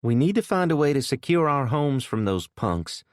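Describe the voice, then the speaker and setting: A man's voice: mid-range voice, big pitch swings, moderate pace, no room sound, studio quality, pitched above the performer's own voice, lightly acted. A man voicing an earnest, determined woman who is a protective mother.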